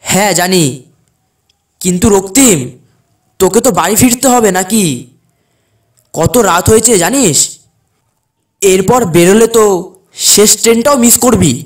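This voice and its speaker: A man playing a caring, protective friend and clinic helper in a cartoon, chiding in a brisk, familiar way. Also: sits high. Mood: fondly nagging.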